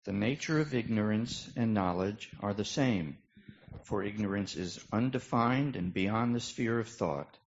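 A man's voice: lowish voice, unhurried. A man playing a composed bodhisattva in an amateur dramatic scripture reading, with a plain delivery. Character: composed male bodhisattva stating a doctrine. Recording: dull lo-fi recording.

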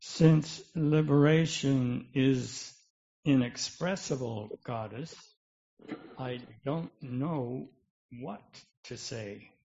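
A man reading the part of an earnest, puzzled Buddhist monk in a scripture drama, with halting, formal phrasing. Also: mid-range voice, creaky, warm tone. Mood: hesitant, humbled. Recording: dull, lo-fi recording.

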